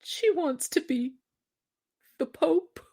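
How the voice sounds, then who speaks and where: A woman's voice: high voice, slow, dark tone, voice pushed up. A woman voicing a meek, anxious, henpecked fisherman in a storybook, pleading and hesitant. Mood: despairing plea.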